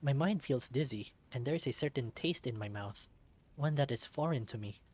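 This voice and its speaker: A man's voice: mid-range voice, animated, normal speed, a little mellow, breathy edge. A man reading a visual novel aloud in dramatic first-person narration.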